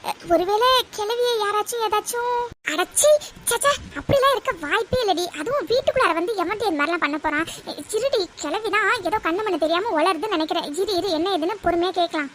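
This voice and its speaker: A woman's voice: very high-pitched, animated, fast, fairly bright tone, slight background noise. A woman playing an anxious, gossipy young woman in a comic family audio drama, talking in a fretful, conspiratorial, colloquial way. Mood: irritated, worried. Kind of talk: melodramatic comedy audio drama.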